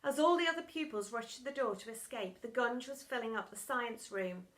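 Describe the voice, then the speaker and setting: A woman's voice: on the high side, fairly quick, a bit bright. A woman narrating a children's storybook expressively and theatrically, as a primary school storytime reader. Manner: dramatic, theatrical.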